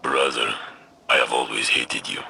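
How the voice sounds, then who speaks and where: A man playing a proud, hearty armored warrior in a video game, with a blunt, solemn, deliberate delivery. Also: very deep voice, steady tone, vocal fry, sharp tone, husky, heavily acted. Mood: hearty needling.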